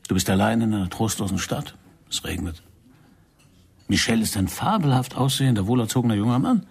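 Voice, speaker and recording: Husky, low-voiced controlling secret agent. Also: male voice, expressive, fairly quick, full character voice. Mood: cool, controlled. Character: intense, controlling male secret agent coaching a recruit. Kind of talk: radio drama acting.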